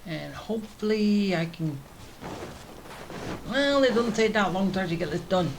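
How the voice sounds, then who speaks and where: A man's voice: high-pitched, lively intonation, moderate pace, creaky, noisy background. A man giving casual gameplay commentary as a let's play host, thinking aloud informally. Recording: game music under commentary.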